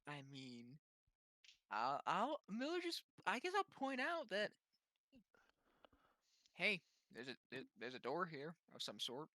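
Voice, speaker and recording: A man's voice: on the high side, huge pitch swings, takes it slowly, long pauses, pushed and bright, no echo, studio quality. A man playing a tabletop roleplaying game, chatting casually and unscripted with the group. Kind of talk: casual chat during a game.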